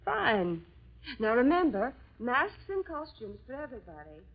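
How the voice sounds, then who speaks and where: An actress playing a gushing, refined society sweetheart in a 1940s radio drama. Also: female voice, fairly high pitch, big pitch swings, measured pace, exaggerated voice. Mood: bright, sociable. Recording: muffled vintage radio recording, music behind.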